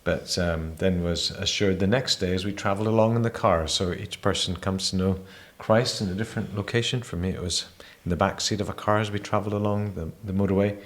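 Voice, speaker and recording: A man who grew up in Spain, a missionary guest sharing his testimony in a measured, hesitant way with many fillers. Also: low-pitched, steady tone. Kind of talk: reflective personal testimony.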